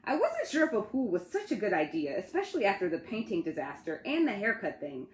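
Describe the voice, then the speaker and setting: A woman reads a picture book aloud as a children's author at storytime. Her delivery is animated and dramatic, with the pitch moving freely. The sound is roomy and echoey. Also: a little fast, slight background noise.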